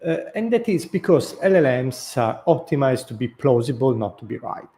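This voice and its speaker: A man's voice: mid-range voice, very animated, moderate pace, warm tone. A middle-aged man with an Italian accent, a native Italian speaker, giving a thoughtful, unscripted talk with frequent fillers and restarts. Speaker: host. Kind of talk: casual talk.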